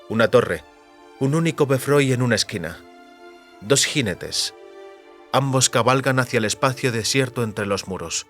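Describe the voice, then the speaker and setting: A man's voice: on the low side, fairly bright tone. Man narrating a fantasy audiobook over music. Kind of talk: scripted literary narration.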